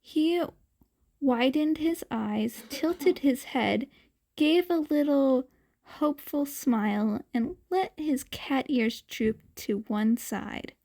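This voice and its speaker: High-pitched woman reading a story, unhurried. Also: warm tone. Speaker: podfic reader, narrator. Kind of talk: scripted fan fiction narration.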